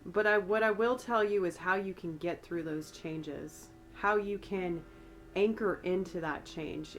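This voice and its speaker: An American woman with a slightly raspy voice from a cold, giving a soft, meandering, conversational ASMR-style tarot reading. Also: lowish voice. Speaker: tarot reader and astrologer.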